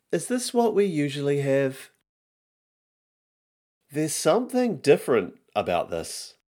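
A man's voice: medium pitch, a little mellow, voice pushed up, heavily acted. A man voicing a fussy, suspicious child who whines and questions warily in a comic story. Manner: whiny, wary questioning. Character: fussy, suspicious child refusing to eat dinner. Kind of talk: acted comic list in a personal story podcast.

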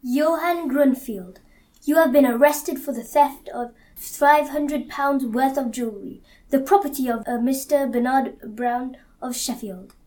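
A woman's voice: high-pitched, measured pace, darkish tone, a little hiss or hum. A young woman playing a stern, sceptical police interrogator in an amateur audio drama. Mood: accusing.

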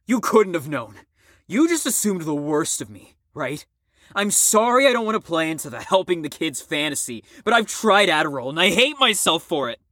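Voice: medium pitch, very animated, normal speed, bright, forceful tone, no room sound. An actor in an audio drama playing a guarded, sardonic recovering addict with a defensive, dry manner. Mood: bitter, upset.

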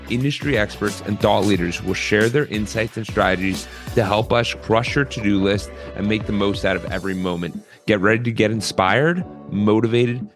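Intro VO, male host; scripted and promotional, low register, soft music underneath. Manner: polished, promotional, read from a script. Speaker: podcast host. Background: faint music.

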